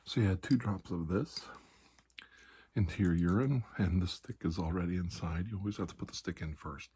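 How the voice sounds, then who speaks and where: A man playing a calm, reassuring doctor in an ASMR roleplay, speaking softly and slowly with pauses, often near a whisper, in a breathy, husky voice. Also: low-pitched, a little hiss or hum. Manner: slow, calming, deliberate with long pauses. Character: calm, reassuring older military doctor treating alien infection.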